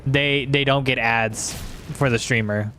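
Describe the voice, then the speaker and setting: Guy streaming a game, bit hoarse, medium pitch, rambly casual chat, keeps correcting himself. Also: fairly even intonation, sharp tone, slight background noise. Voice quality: slightly hoarse. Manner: rambling, halting, self-correcting. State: hoarse from singing. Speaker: game livestreamer.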